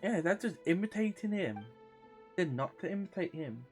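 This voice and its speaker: A woman's voice: lowish voice, lively intonation, moderate pace, darkish tone, soft background music. A woman streaming a game and giving casual live commentary on it.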